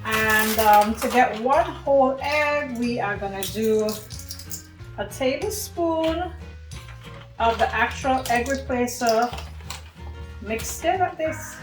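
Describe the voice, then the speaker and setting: Woman from trinidad and tobago doing a cooking video, higher voice, chatty, echoey kitchen, soft music. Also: slow, pauses often. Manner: warm, chatty, addresses viewers as friends. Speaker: cooking show host. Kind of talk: home cooking demonstration.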